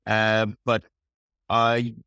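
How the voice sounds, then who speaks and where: A man from Iran with an Iranian accent, commenting in a discussion and pausing often with fillers. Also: on the low side, completely flat delivery, pushed and bright, clear, resonant tone, dry recording, clean studio sound. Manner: halting, many fillers. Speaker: audience member.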